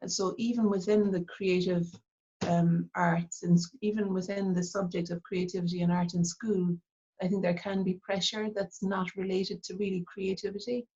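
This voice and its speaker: A middle-aged woman with an Irish accent from Cork, talking reflectively in an interview and pausing often with fillers and restarts. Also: lowish voice, flat delivery, mellow, husky, dull audio. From Ireland. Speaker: artist, interview guest. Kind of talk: casual interview talk.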